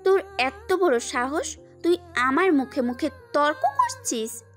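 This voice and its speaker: A woman's voice: high voice, very animated, takes it slowly, a bit bright, soft background music, heavily acted. A woman playing an arrogant, scornful rich young woman in an audio drama. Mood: haughty. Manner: scornful, picks fights.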